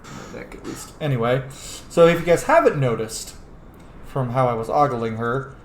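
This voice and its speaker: A man's voice: medium pitch, normal speed, gravelly, a little hiss or hum. A man doing casual let's play commentary, playful and sweary, talking to the game's characters in a roomy-sounding space. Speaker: video game streamer. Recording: roomy mic with game music behind. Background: game music.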